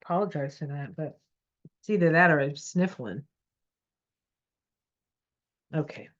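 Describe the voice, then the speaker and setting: A woman's voice: sits low, lively intonation, fairly quick. A woman with a stuffy nose giving a casual, encouraging tarot reading at her home computer. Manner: encouraging, reassuring. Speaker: tarot reader. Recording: dull lo-fi sound.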